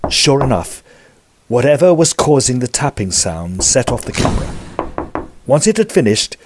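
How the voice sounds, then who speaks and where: An actor playing a gentlemanly Edwardian narrator of a ghost story, telling it formally in a scripted audio drama. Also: male voice, medium pitch, very animated, normal speed, noisy background, natural voice. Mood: suspenseful.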